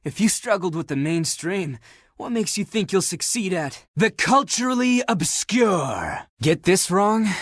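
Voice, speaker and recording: A haughty, theatrical would-be fashion designer from a video game, a living pile of laundry with a great sense of drama. The voice is husky and close and dry, swooping freely up and down as it teases and looks down its nose. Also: male voice, a little high, dry recording, full character voice. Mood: scornful. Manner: haughty, flamboyant, dramatic, teasing. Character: sassy, theatrical talking dirty laundry, aspiring fashion designer. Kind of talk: animated video game character acting.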